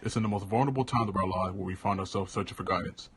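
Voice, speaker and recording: A man narrating a scripted news package as a student reporter with a brisk read. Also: low-pitched.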